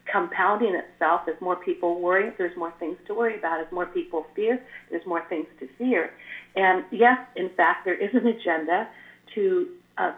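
Older woman, low and scratchy, on a tinny echoey line. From California. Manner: earnest, flowing spiritual explanations. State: slightly scratchy after the flu. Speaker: spiritual guest. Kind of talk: casual chat.

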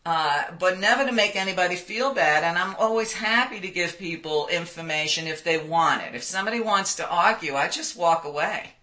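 A bright, forceful woman in her sixties tells her stories loudly and with plenty of animation. She laughs easily as she talks, and her voice carries. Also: lowish voice, fairly quick, hardly any pauses. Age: 60s. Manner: loud, animated storyteller who laughs often. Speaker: guest. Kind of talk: conversational interview.

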